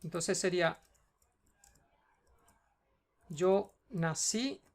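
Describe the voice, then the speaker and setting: A Spanish teacher with a Cuban accent, patiently going through a student's homework and checking in often. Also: female voice, fairly low pitch, slow, vocal fry, darkish tone. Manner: patient, explains step by step, checks in with "ok?".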